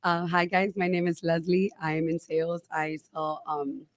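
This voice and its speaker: A woman's voice: on the low side, little pitch movement, normal speed, dry recording. Assertive woman in her thirties. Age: 30s. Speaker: podcast guest. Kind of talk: casual podcast chat.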